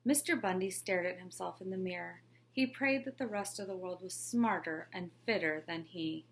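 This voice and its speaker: A woman, a teacher, narrating a children's picture book aloud in a clear, expressive storytelling manner. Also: lowish voice, normal speed, slight background noise. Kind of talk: children's read-along narration.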